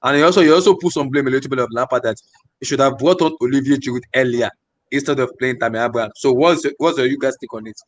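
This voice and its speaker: A man with a middling pitch who talks quickly as he steers a football panel on to the next topic and relays a critic's opinion. He moves things along briskly. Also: no room sound. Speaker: show host. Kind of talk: studio football discussion.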